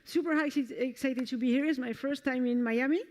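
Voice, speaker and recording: A woman's voice: high-pitched, brisk, darkish tone. A woman from France with a French accent, presenting a technical talk with a live demo at a conference, enthusiastic and full of fillers and restarts. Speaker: conference speaker. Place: conference stage before an audience.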